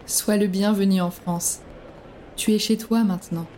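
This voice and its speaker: A woman playing a gentle young cousin in an audio drama, speaking softly and tenderly. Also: medium pitch, steady tone, measured pace, slight background noise, natural voice. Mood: welcoming.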